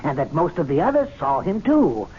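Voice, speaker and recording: An actor playing an indignant, fussy, excitable club servant in an old radio drama, given to muttering and theatrical outbursts. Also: male voice, fairly high pitch, normal speed. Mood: incredulous. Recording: muffled, noisy old radio drama recording.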